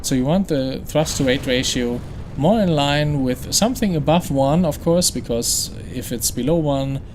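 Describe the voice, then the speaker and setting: A man's voice: medium pitch, moderate pace, darkish tone, a little hiss or hum. German-accented man narrating gameplay. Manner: explanatory, chatty, thinks aloud with fillers and restarts. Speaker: gaming channel host. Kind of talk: game playthrough commentary.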